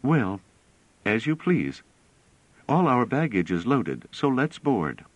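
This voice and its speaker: A man voicing a calm young woman who explains patiently and earnestly. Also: mid-range voice, takes it slowly, dry recording, pitched above the performer's own voice. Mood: light, cheerful.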